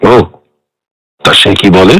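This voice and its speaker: A man's voice: sits low, slow, sharp tone, slight background noise, full character voice. A man acting a guarded, defensive son of a dead doctor in a radio drama.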